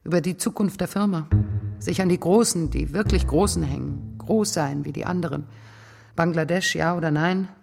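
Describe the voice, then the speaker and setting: Tiefe, knarrende, kalte Geschäftsfrau. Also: female voice, on the low side, normal speed, vocal fry, darkish tone. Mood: calculating, persuasive. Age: older. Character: cold, controlling older businesswoman, bargaining and unyielding. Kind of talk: radio drama acting. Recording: music behind the voice. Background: music.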